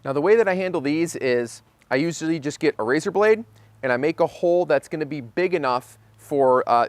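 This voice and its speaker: A man's voice: fairly high pitch, no echo. An American man hosting a DIY car video, narrating a how-to casually and informally, thinking aloud as he works. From Michigan, United States.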